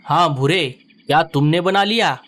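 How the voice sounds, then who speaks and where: A man voicing a kind, steady male bird who is the elder brother in a children's cartoon, calm and reasonable. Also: a little high, moderate pace, lightly acted.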